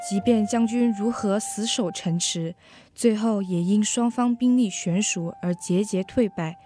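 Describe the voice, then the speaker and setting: A woman's voice: medium pitch, warm tone, natural voice. A teenage girl playing a grave, elegiac narrator of fallen soldiers in a scripted radio drama.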